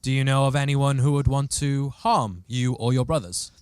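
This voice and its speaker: A man's voice: mid-range voice, fairly even intonation, normal speed, darkish tone, clear, resonant tone, no room sound, clean studio sound, close to an everyday voice. A man acting a dutiful, exasperated police detective in a comic radio play, formal and insistent with some hesitation. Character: dutiful, exasperated police detective questioning fairy-tale witnesses.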